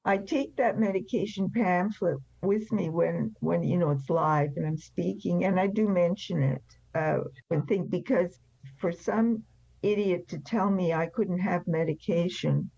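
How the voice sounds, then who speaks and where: Gravelly older woman, blunt and emphatic. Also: fairly low pitch, a little slow, dark tone, dry recording. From France. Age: 70s or older. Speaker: interview guest, recovery speaker. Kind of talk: personal storytelling in an interview. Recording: slightly dull, band-limited sound.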